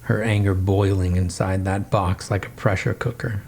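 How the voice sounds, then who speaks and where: A man narrating a first-person horror story in measured, somber short phrases. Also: low-pitched, flat delivery, creaky, background noise.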